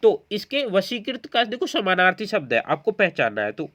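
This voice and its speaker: A man's voice: high voice, big pitch swings, moderate pace, a bit bright. A man teaching an exam-prep lesson, explaining quiz questions and often checking in with learners. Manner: explanatory, repeatedly checking in with listeners.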